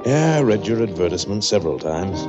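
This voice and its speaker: An actor playing a polite man of about fifty, an attorney, in a 1940s radio drama, pausing with "uh". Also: sits low, sing-song. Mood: courteous. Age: 50s. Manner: courteous, hesitant with "uh". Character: smooth, polite middle-aged male attorney. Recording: lo-fi old radio recording with music.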